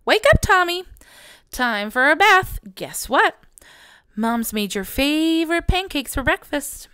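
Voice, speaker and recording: A woman's voice: sits high, sing-song, a little slow, bright, forceful tone, no echo, studio quality, full character voice. A woman voicing a loving parent in a picture book, coaxing and soothing a small child. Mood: cheerful coaxing. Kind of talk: picture book character reading.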